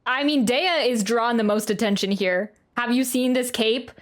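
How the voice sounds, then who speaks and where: Chatty, higher-voiced woman livestreaming a game. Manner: chatty, halting, thinking aloud. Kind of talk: casual live reaction commentary. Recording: game music under the voice.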